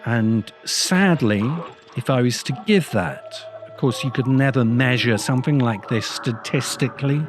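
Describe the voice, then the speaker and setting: A man hosting a podcast, delivering a reflective monologue in a grave, deliberate way with emphatic phrasing. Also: mid-range voice, animated, over music. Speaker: podcast host. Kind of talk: reflective monologue, video essay.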